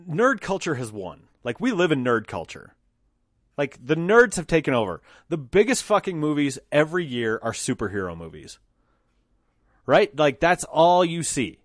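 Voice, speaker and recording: A man's voice: mid-range voice, no room sound, studio quality. A man from Colorado hosting his podcast alone, talking unscripted, swearing freely and acting out mock quotes. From Colorado, United States. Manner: profane, animated, acts out mock quotes. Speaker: podcast host. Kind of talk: unscripted solo monologue.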